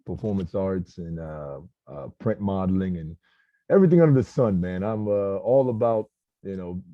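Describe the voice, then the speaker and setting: A relaxed man from Southern Maryland talks on a video call, laughing easily and padding his sentences with fillers. His voice sits a little low and sounds dry and close, with no room around it. The call audio is dull and muffled. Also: dry recording. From Southern Maryland, USA. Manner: laid-back, laughing, many fillers. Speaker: voice actor. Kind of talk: casual video call chat.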